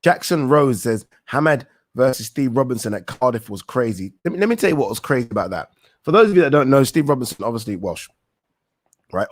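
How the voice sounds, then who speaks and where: A man's voice: medium pitch, no room sound. A young man hosting a live boxing talk stream, reading viewer questions aloud and answering in a rambling, thinking-aloud way with casual swearing. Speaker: boxing talk show host.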